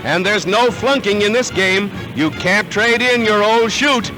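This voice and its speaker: A man's voice: high-pitched, unhurried, poor audio. An American man delivering scripted 1940s newsreel narration in a dramatic, punchy announcer manner, on a vintage film soundtrack. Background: music.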